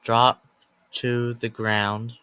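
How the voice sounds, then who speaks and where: A man reading a scripted emergency alert announcement as narrator, in a halting way that breaks sentences into short groups of words. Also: mid-range voice, little pitch movement, sharp tone, clear, resonant tone, no room sound, rough recording.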